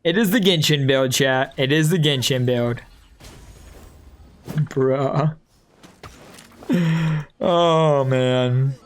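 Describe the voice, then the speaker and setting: A game streamer giving casual, slangy, excitable live reactions and reading bits aloud. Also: mid-range voice, very slow, long pauses. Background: game music.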